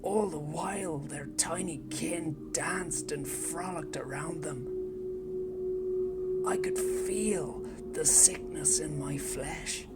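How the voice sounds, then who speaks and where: Brooding plague-cult zealot, played by a woman: deep, slow, whispery first-person monologue over a loud score. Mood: reverent whisper. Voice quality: hushed, often whispering. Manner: intense, brooding, slow and deliberate. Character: embittered orphan turned devoted plague-god cultist. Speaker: audio drama narrator-character. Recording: loud music score underneath. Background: loud music.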